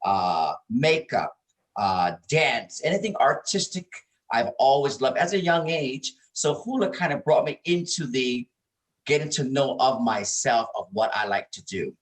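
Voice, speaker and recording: Expressive middle-aged man from Hawaii. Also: mid-range voice, measured pace, low-quality recording. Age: middle-aged or older. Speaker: interview guest, performer and producer. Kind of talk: casual interview storytelling.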